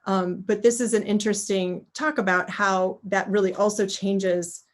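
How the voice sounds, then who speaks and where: Female interviewer with an average-pitched voice; conversational, feels her way discursively through questions. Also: steady tone, moderate pace. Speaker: moderator, interviewer.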